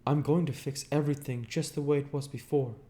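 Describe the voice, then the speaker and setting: A man's voice: mid-range voice, fairly even intonation, brisk, warm tone, voice pushed up, close to an everyday voice. A man voicing a lovesick, stubbornly hopeful wealthy man in an audiobook, earnest and insistent. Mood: incredulous, determined. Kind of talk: audiobook character voice.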